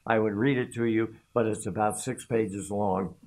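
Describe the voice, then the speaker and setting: An older man giving a formal commencement speech, halting and correcting himself as he goes. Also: on the low side, fairly even intonation, a little mellow. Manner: slow, halting, with self-corrections. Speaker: commencement speaker. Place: room before a backdrop.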